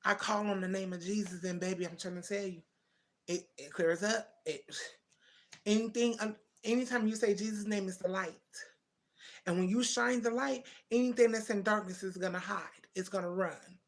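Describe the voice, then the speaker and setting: A woman's voice: mid-range voice, steady tone, normal speed. A woman in her thirties hosting a casual livestream, talking straight to her viewers and answering their comments, calling them baby and twin. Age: 30s. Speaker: livestream host. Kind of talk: casual live chat with viewers.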